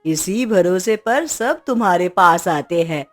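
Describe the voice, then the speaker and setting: A woman's voice: fairly low pitch, animated, slow pace, soft background music. A woman playing a kindly, thrifty middle-aged aunt in a cartoon story, with an affectionate manner.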